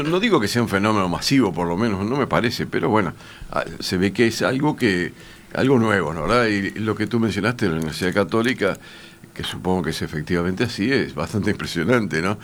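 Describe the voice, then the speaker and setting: Deep-voiced hesitant Uruguayan man. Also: lively intonation, fairly quick, fairly bright tone, a little hiss or hum. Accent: Uruguayan Spanish. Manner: hesitant, many fillers and restarts. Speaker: university teacher. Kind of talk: radio panel discussion.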